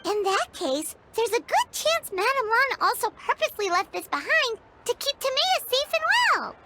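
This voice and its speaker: A woman voicing a small, excitable, cheeky fairy companion in an animated game, with a squeaky childlike voice. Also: moderate pace, heavy background noise. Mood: concerned, curious. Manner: perky, refers to self in third person. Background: prominent background music.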